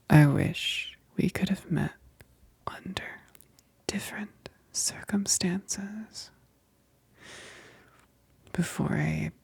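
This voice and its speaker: A woman acts out a female vampire in an intimate ASMR roleplay, tender yet menacing. Her low voice is extremely breathy, mostly a whisper with a crackle of fry. She speaks haltingly, as if to a close friend. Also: a little mellow, no room sound, heavily acted. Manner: slow, halting, intimate, with sighs.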